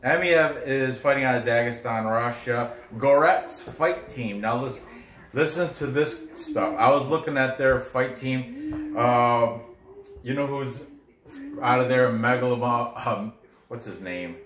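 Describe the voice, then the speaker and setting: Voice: mid-range voice, unhurried, fairly bright tone. A person from New York, born in Korea, giving casual, rambling fight betting commentary and often interrupting themselves. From born in Korea, grew up in New York. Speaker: host giving betting picks. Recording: echoey room with noise.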